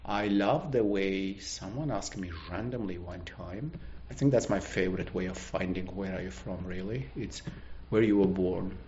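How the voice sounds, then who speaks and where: Middle-aged Azerbaijani-born man, halting speech. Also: sits low, darkish tone. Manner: halting, searching for words, frequent restarts. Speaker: guest. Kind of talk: casual conversation. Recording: muffled with faint background hiss.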